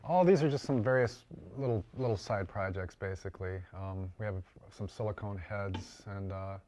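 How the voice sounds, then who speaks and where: An older man explaining his craft in a casual interview, haltingly and often repeating words. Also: on the low side, dark tone, slight background noise. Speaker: interviewed prop and mask maker.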